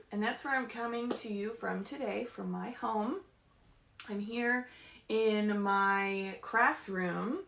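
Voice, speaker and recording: A woman leading a story time for young children as a librarian, animated and encouraging, often putting questions to the kids, speaking from a room at home. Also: mid-range voice. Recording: roomy, echoey home livestream.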